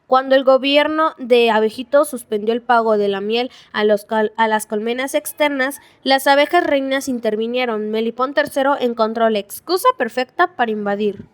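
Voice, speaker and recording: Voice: mid-range voice, normal speed, few pauses, smooth. A child reading scripted narration for a school audio drama.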